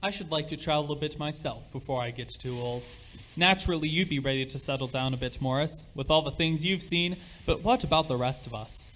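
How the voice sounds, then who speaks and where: A man's voice: on the high side, a little fast, a bit bright, slight background noise, lightly acted. A man playing a sociable middle-aged father in an amateur radio play, with affable, old-fashioned phrasing. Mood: curious.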